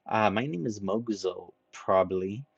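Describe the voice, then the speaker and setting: A man reading a story aloud, voicing a big, gentle young man who speaks slowly and hesitantly. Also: fairly low pitch, creaky, breathy edge, no room sound, dull audio. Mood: mild, unsure. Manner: slow, hesitant, mild. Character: gentle, slow-spoken giant young man.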